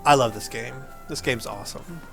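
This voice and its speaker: A man's voice: mid-range voice, moderate pace, vocal fry, a bit bright. A man hosting a gaming video, giving casual, enthusiastic gameplay commentary in an informal, explaining way. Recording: game music behind the voice.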